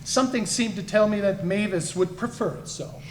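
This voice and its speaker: An earnest young English gentleman, acted by a man in a story reading, courteous and chivalrous, full of quaint upper-class phrases. The voice sits in an echoey room with faint noise behind it. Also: high voice, normal speed, close to an everyday voice. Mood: earnest, self-justifying.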